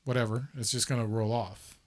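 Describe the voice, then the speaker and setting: A man hosting a casual interview, speaking haltingly with many repeated words and fillers. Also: medium pitch, level tone. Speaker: show host.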